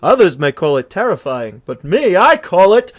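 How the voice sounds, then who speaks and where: A man's voice: high voice, very animated, moderate pace. A man voicing an eager talking horse who fancies himself a crook, with theatrical, folksy storytelling in a comic fandub. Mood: dramatic storytelling. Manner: theatrical, folksy old-fashioned turns of phrase. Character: self-pitying talking horse, eager cheerful rogue wannabe. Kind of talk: comic comic-book fandub reading with storytelling flourishes.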